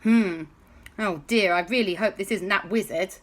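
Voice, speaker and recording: A woman voicing a sensible aunt who asks calm, careful questions. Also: mid-range voice, moderate pace, lightly acted. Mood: concerned. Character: sensible aunt leading a team.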